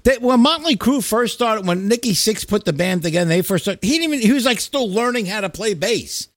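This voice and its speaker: Casting: middle-aged male podcast host, fairly high voice, dry close recording, blunt and foul-mouthed in casual, emphatic chat. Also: animated, fairly quick, no room sound. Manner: profane, blunt, opinionated, emphatic.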